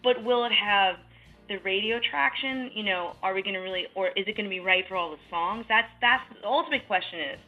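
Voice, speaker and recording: A woman's voice: medium pitch, fairly quick. Woman musician, casual interview, rambling, filler words, slightly dull lo-fi sound, faint music behind. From New York. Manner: rambling, many fillers and restarts. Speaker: musician in a duo, piano.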